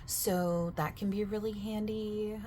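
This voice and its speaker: A woman speaking softly in an ASMR roleplay as a gym staff member, chatty and overexplaining, often dropping into a whisper partway through a sentence. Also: mid-range voice, fairly even intonation, slow, slight background noise. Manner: soft-spoken, slipping into whispers mid-sentence. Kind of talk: soft-spoken ASMR sales roleplay.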